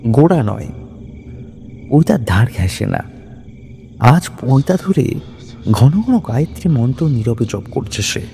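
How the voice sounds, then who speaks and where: Slow, dramatic man telling horror stories. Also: medium pitch, gravelly, warm tone. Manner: suspenseful, deliberate phrasing with dramatic pauses. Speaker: horror story narrator. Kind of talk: dramatic scripted horror storytelling in Bengali.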